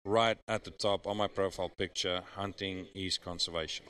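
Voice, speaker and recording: A man's voice: low-pitched, fairly even intonation, moderate pace, fairly bright tone. A man who is a professional hunter and is not a native English speaker, talking earnestly and persuasively in an interview with frequent restarts. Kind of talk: casual interview talk.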